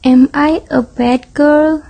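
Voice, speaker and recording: A woman's voice: high-pitched, steady tone, slow, gravelly, dark tone, full character voice. A woman playing a guarded, vain young woman on a date in an amateur romance radio drama, polite and restrained. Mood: uneasy.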